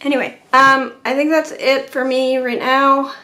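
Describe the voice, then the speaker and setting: A woman's voice: high-pitched, steady tone, measured pace, pushed and bright. A woman hosting a live watercolour painting stream, chatting with viewers in a rambling, laughing way. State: sore neck.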